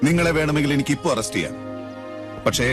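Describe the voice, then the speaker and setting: A man's voice: on the high side, expressive, rapid-fire, lightly acted. An actor playing a mocking, wordy man who threatens arrest, in film comedy dialogue. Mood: taunting. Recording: loud music behind a film clip.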